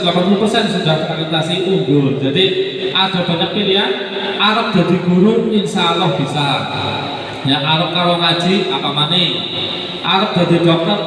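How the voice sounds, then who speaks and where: Seorang pria berlogat Banyumasan (ngapak) mempresentasikan universitas kepada hadirin dengan santai dan informal, sering menyelipkan kata pengisi. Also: male voice, high-pitched. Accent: Banyumasan Javanese (ngapak). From Banyumasan region of Central Java. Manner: relaxed and informal, mixes local dialect with filler words. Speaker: university representative presenting to a gathering. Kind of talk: promotional presentation to an audience. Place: large sports hall. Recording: echoey sports hall recorded from a distance, noisy.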